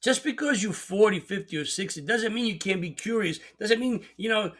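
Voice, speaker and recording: A man's voice: sits high, expressive, moderate pace, fairly bright tone. A middle-aged man giving an earnest, emphatic motivational talk as host, sometimes repeating words as he presses a point.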